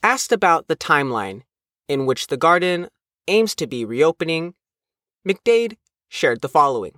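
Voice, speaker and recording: A man's voice: fairly high pitch, sing-song, a little slow, bright, forceful tone, dry recording, studio quality. A man reading a scripted news report as a reporter.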